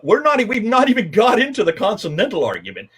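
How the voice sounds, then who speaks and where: Animated husky American man. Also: high voice, quick pace. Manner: emphatic, interrupts and takes charge of the conversation. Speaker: guest speaker. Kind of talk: animated explanatory talk.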